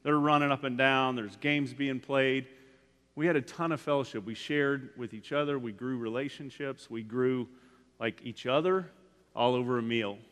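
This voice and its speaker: A man's voice: medium pitch, fairly even intonation, normal speed, fairly bright tone. A man in his forties, a guest speaker giving a casual, rambling sermon in a church, full of "like" and restarts. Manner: rambling, frequent "like" fillers and restarts. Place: church sanctuary. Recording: long hall reverb.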